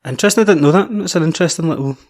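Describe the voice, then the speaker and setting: A man in his twenties hosting a podcast, reading a measured intro and then chatting friendly with his guest. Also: a little high, darkish tone. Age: 20s. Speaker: podcast host. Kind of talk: scripted intro then casual interview.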